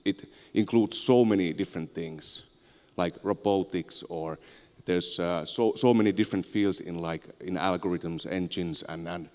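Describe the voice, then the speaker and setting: A man in his thirties with a Finnish accent, giving an informal, somewhat halting conference presentation on a handheld microphone. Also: low voice, darkish tone. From Finland. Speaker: conference speaker, tech entrepreneur. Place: on stage in a large auditorium. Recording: echoey large hall, long reverb.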